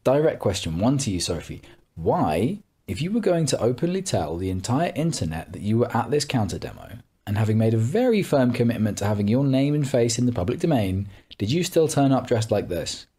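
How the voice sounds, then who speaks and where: A man's voice: mid-range voice, very animated, a little fast, warm tone, slightly rough. A man reading a scripted narration in a pointed, sarcastic, accusatory manner. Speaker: narrator of a call-out video.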